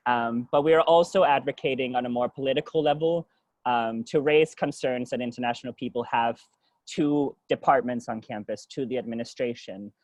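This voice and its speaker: A young man from Denmark whose native language is Danish speaks as a student representative. His voice sits at a middle pitch, with very little room sound. He presents in an informal, explanatory way. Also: a little mellow, no room sound. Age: young adult. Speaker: student government president.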